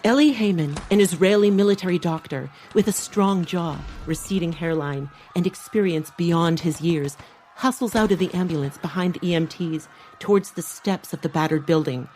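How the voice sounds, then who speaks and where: A woman's voice: on the low side, normal speed, a little mellow, a little hiss or hum. A woman delivering dramatic scripted narration for an audiobook. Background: music.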